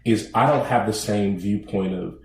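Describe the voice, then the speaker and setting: A man co-hosting a podcast who speaks thoughtfully and deliberately, often restarting his sentences. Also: on the low side, a little mellow, roomy sound. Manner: thoughtful, halting restarts, slow deliberate phrasing. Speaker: podcast co-host. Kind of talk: casual conversation.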